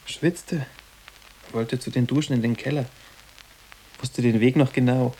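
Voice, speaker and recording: A man's voice: mid-range voice, fairly even intonation, measured pace, warm tone, breathy edge, poor audio. An actor playing a sarcastic, blasé teenage boarding-school boy in a radio drama, talking in a dry, offhand way. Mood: blasé sarcasm. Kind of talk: literary German radio drama dialogue.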